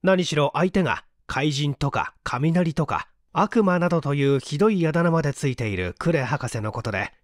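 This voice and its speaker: A man reading a story aloud as an audiobook narrator, in an expressive scripted delivery. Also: fairly high pitch, normal speed, few pauses, dry recording, clean studio sound.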